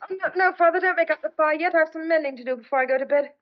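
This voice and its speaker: Woman acting young country daughter, high voice, sharp tongue, old-fashioned audio drama, muffled recording. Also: rapid-fire, lightly acted. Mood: brisk, homely.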